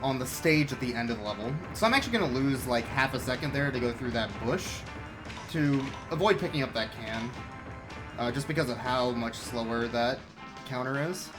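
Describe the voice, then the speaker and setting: A man giving relaxed, chatty live commentary on his speedrun, explaining strategy as he plays. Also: mid-range voice, brisk, music in the background.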